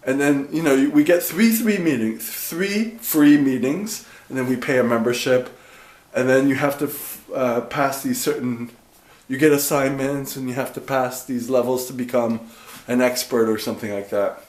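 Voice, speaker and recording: A man's voice: mid-range voice, moderate pace, darkish tone. Rambling chatty guy in an echoey room. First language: English. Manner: rambling, chatty, self-interrupting. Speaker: vlogger. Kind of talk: casual talk to camera. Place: dark room at home.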